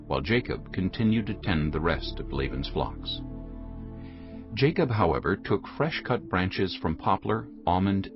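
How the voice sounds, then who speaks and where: A man's voice: low voice, muffled recording. A man reading scripted narration for a dramatized audio Bible. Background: background music.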